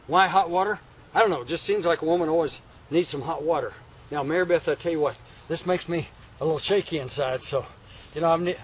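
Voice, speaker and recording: A folksy frontier cowboy voiced by a man talks in a homespun drawl. His voice sits a little high for a man. A faint hiss runs underneath it. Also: natural voice. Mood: flustered. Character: folksy frontier cowboy, new father, flustered. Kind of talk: one-man stage monologue.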